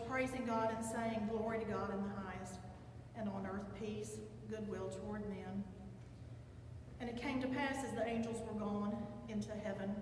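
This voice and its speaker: An older woman pastor with a Southern American accent, reading scripture and preaching in a measured, reflective way. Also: mid-range voice, recorded from a distance, noisy background. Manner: measured, reflective delivery with slow emphatic pauses. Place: large church sanctuary with pipe organ, at a pulpit. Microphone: far camera, pulpit microphone. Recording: echoey church hall, heard from afar.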